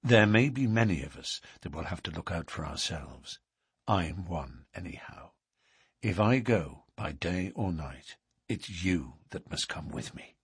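Man acting an earnest, husky young outlaw. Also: deep voice, animated, unhurried, no echo, studio quality, exaggerated voice. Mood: low urgent pleading. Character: earnest, urgent young Irish outlaw, solemn and persuasive.

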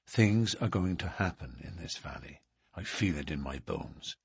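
A man voicing an earnest, urgent young outlaw in a storybook, intense and deliberate, with formal Irish turns of phrase. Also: a bass voice, lively intonation, breathy edge, no echo, clean studio sound, pitched below the performer's own voice, exaggerated voice. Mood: low urgent pleading.

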